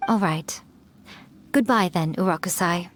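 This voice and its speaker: A woman's voice: lowish voice, unhurried, a little hiss or hum, close to an everyday voice. An actress playing a centuries-old female fox spirit in an anime game, sly and composed, with a teasing, knowing manner. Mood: fond, knowing.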